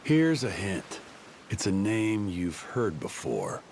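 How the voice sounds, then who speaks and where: A man's voice: fairly low pitch, big pitch swings, slow pace, a little mellow, a little hiss or hum, full character voice. A man voicing a protective, grumbling father in a video game dub, firm and informal. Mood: explaining.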